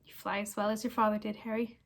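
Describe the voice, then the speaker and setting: A woman's voice: mid-range voice, level tone, fast talker, lightly acted. A woman voicing a gaunt, bitter man who escaped prison, speaking with fierce, harsh intensity in a storybook reading. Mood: haunted, earnest. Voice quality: croaking, harsh. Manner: intense, forceful.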